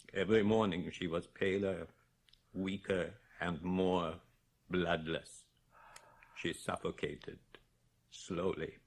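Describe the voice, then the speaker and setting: Old general in a radio play, mournful n vengeful, really slow deep voice. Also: male voice, heavily acted. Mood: grieving. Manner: slow, halting, solemn. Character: grieving, vengeful old general recounting his daughter's death. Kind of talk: 1980s radio drama acting.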